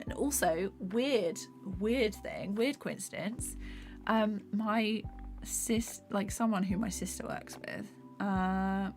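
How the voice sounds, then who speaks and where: A young woman animator chatting casually on a live stream while she works, rambling and soft-spoken, her voice a bit hoarse. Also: medium pitch. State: slightly hoarse. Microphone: desktop USB microphone. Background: faint background music.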